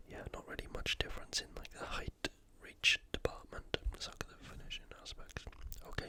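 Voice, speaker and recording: ASMR host. Breathy, unvoiced whisper throughout, unscripted and informal, thinking aloud through a sports preview.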